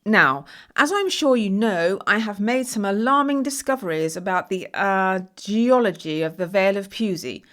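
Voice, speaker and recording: A woman's voice: mid-range voice, lively intonation, normal speed, few pauses, a bit bright, natural voice. A woman playing an anxious but wry geology professor in an amateur audio play, dry and a little formal. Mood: nervous, formal.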